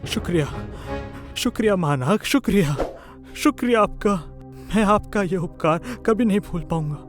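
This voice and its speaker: High-voiced, humble village father, acted by a man. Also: lively intonation, music in the background. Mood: grateful. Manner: halting, pleading, repeats words. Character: remorseful father, newly rich villager, pleading and humble. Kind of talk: Hindi moral-story cartoon acting.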